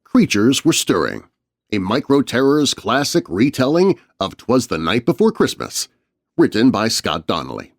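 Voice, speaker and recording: A man's voice: medium pitch, very animated, moderate pace. A man narrating a spooky rhyming children's story in a theatrical, dramatic way. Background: music.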